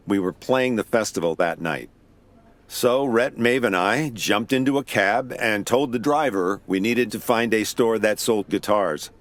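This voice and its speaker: A man's voice: on the low side, close to an everyday voice. An actor playing a weary, wry investigator who narrates his own case in an audio drama. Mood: reminiscing. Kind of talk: first-person noir-style audio drama narration.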